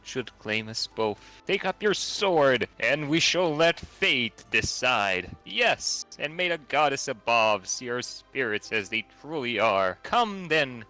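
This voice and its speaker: A man's voice: medium pitch, sing-song, slow pace, few pauses, pushed and bright, soft background music, heavily acted. A man playing a noble young knight who declaims formal stage-play lines in an amateur game voice-over. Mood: solemn, impassioned. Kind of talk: amateur game dialogue voicing.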